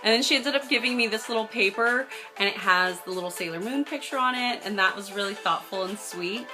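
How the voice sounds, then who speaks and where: A woman's voice: medium pitch, brisk, music in the background, poor audio. A young adult American woman chatting casually to camera as she tells a personal story, bubbly, gushing and emphatic. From United States. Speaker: vlogger telling a personal story.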